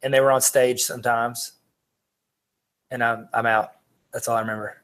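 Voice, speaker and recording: A man's voice: mid-range voice, flat delivery, normal speed, fairly bright tone. A man co-hosting a podcast, bantering casually with a joking, self-deprecating manner. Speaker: podcast co-host.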